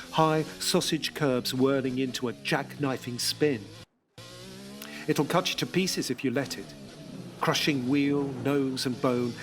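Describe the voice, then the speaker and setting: A man giving scripted dramatic narration in an intense, rhythmic way. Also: mid-range voice. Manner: intense, rhythmic, second-person at times.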